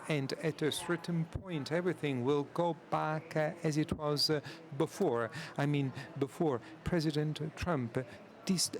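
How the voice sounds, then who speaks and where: Halting male conference interpreter. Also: a little high. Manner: halting, frequent filler sounds. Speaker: simultaneous interpreter.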